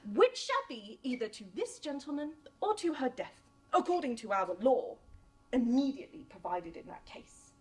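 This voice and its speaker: Indignant stage father, played by a woman, Shakespearean verse, declamatory, very animated, slow. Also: high-pitched, poor audio. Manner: declamatory, accusing, drawn-out delivery. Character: indignant, vexed father demanding obedience from his daughter. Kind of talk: Shakespearean monologue performance.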